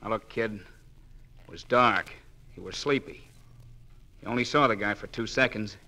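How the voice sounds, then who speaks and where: An actor in a 1940s radio crime drama playing a brusque, skeptical police sergeant. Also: male voice, on the low side, measured pace, sharp tone. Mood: firm, doubting.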